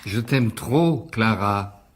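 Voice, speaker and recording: Husky, slow-spoken troubled stage actor. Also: male voice, fairly low pitch, lively intonation, exaggerated voice. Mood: anguished, declamatory. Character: intense, troubled stage actor, obsessed and unravelling. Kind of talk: French radio drama acting.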